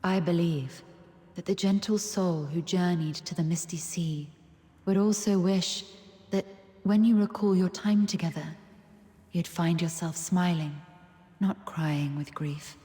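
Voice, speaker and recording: An actress voicing a serene, wise woman guide in a video game, speaking in a gentle, measured, philosophical manner. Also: on the low side, steady tone, natural voice. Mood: solemn, consoling. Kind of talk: solemn video game dramatic monologue. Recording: echoey long reverb, background music.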